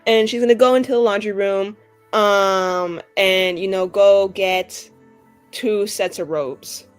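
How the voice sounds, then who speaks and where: A woman's voice: medium pitch, steady tone, slow pace, clean tone. A woman chatting casually as a player in a tabletop roleplaying game, improvising as she talks. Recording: music behind the voice.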